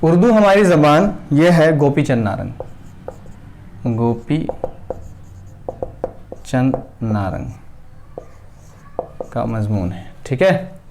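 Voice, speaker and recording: A male teacher giving a classroom lecture at a board, dictating names slowly word by word and answering his own questions. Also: medium pitch, a little mellow, slight background noise. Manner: asks the class questions and answers them himself.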